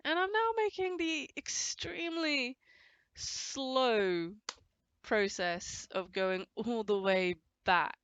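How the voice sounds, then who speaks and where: A woman's voice: fairly high pitch, slow, a bit bright, smooth, dry recording. A woman with a cold, casually narrating her gameplay in a chatty, rambling way with frequent restarts. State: has a cold, voice a bit off. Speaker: gameplay commentator. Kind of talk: casual let's-play commentary.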